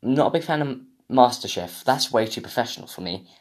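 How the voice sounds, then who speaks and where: The young British man you would hear on a homemade solo podcast, swearing and rambling in mock outrage at an everyday pitch. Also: animated, brisk. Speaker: podcast host. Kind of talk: casual comedic rant.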